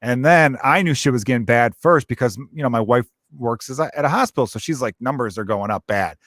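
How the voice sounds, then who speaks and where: Thirties podcast host, easygoing and supportive, dry close mic. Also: male voice, mid-range voice, animated, a little fast, fairly bright tone, no echo. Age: 30s. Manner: friendly, encouraging, talks in loose run-on sentences. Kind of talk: casual chat.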